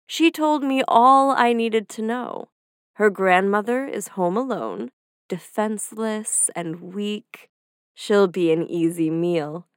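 A woman's voice: medium pitch, slow, smooth, no room sound, clean studio sound, close to an everyday voice. A woman voicing a cunning, hungry male wolf who speaks with sly, purring menace. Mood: scheming.

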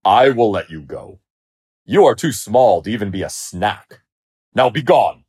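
A man voicing a proud, scornful male lion in a storybook, haughty and booming, with a growling delivery. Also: huge pitch swings, a little slow, breathy edge, exaggerated voice. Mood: menacing contempt. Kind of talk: storybook character voice.

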